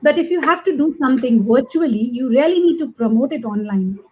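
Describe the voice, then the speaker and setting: A woman's voice: sits high, animated, a little fast, a little mellow. A woman talking casually as an interview guest, emphatic and effusive, repeating phrases for emphasis. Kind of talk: casual conversational talk.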